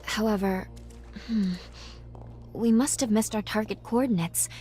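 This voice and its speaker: Woman acting a gentle, formal young heroine, echoing. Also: medium pitch, distant mic, faint music behind, lightly acted. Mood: relieved, thoughtful. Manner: formal, polite, measured phrasing. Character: gentle, earnest young woman with a time-travel power. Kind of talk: scripted video game cutscene acting.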